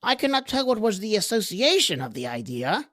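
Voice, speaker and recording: A woman's voice: mid-range voice, big pitch swings, fairly quick, fairly bright tone, dry recording, clean studio sound. A woman playing a meek male dwarf court jester, tranquil and deferential, in an old-time radio horror style. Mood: tranquil, sly.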